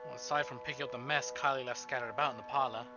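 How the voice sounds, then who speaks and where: A man streaming a visual novel, moving between casual chat and expressive, theatrical story reading. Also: medium pitch, racing. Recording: dull band-limited stream, music behind.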